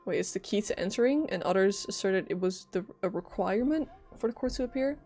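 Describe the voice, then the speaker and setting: A woman livestreaming a game, giving casual, expressive commentary and reading text aloud, with a lingering cough. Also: medium pitch, fast talker, soft background music.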